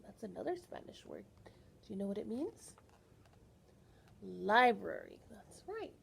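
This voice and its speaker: A woman reading a picture book aloud to young children as a librarian, animated and teacherly, often pausing to put questions to her listeners. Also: medium pitch, vocal fry, a little hiss or hum.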